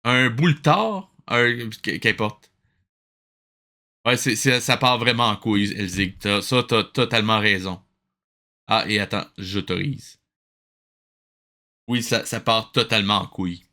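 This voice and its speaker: A man's voice: lowish voice, a little slow, pauses often, bright, forceful tone. A man hosting a livestream, giving casual reaction commentary in a sarcastic, exasperated way with some swearing. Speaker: livestream host.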